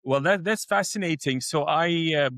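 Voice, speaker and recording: A man's voice: on the high side, moderate pace, a bit bright, no echo. Hesitant middle-aged man, dry sound. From Iraq. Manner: hesitant, many restarts and fillers. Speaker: educator, co-host. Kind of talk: conversational dialogue.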